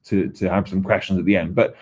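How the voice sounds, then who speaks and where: A man presents his research at a conference, in a fairly low voice with a mellow, soft tone. His delivery is conversational and unhurried, full of ums and small restarts as he walks through his points. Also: fairly even intonation. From Wales.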